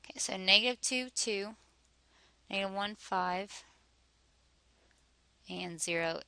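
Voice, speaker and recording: A woman teaching a math lesson, working through each step aloud, her voice a little hoarse. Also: mid-range voice, slow, pushed and bright, no echo. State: slightly hoarse, losing her voice.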